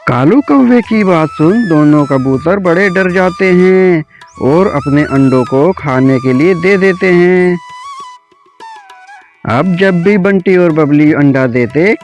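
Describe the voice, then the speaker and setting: A male storyteller reads a children's tale very slowly. His voice sits a little high for a man. Faint music plays behind the narration. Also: expressive. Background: soft background music.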